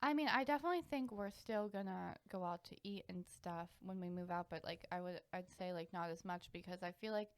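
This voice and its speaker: A woman's voice: on the low side, fairly quick, hardly any pauses, smooth, no room sound. A woman in her twenties chatting casually as a podcast co-host, informal and often interrupting herself with "like.". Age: 20s. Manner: informal, self-interrupting, uses "like" constantly. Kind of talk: casual chat.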